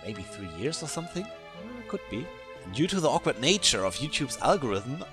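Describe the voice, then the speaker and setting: German-accented man, lively game tutorial voice-over, light music behind. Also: medium pitch. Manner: relaxed, chatty, self-deprecating asides. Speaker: gaming YouTuber.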